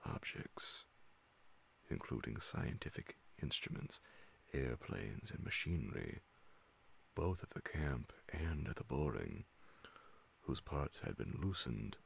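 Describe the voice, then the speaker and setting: A middle-aged man with an extremely low voice full of heavy vocal fry reads a story in ASMR audiobook style. He goes slowly, with frequent pauses and a hushed delivery into a studio mic. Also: big pitch swings, a little hiss or hum. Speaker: narrator reading classic horror stories. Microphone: large studio condenser microphone close beside him, headphones on.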